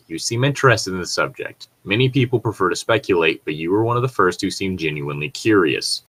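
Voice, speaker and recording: A man's voice: low voice, a bit bright. A man casually chatting and reading horror stories aloud as a podcast co-host, easily sidetracked and swearing freely.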